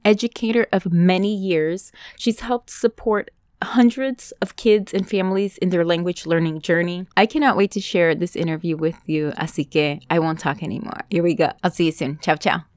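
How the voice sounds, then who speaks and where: A woman's voice: fairly low pitch, fairly even intonation, moderate pace, a little mellow, dry recording. A woman hosting a podcast in casual chat, dropping Spanish phrases into her English. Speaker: podcast host.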